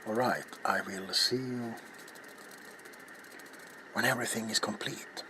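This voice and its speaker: A middle-aged man speaking softly in ASMR style as he demonstrates a craft, halting and often slipping into a whisper. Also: fairly low pitch, fairly even intonation, slight background noise. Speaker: ASMR video maker and host. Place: small cluttered indoor workshop.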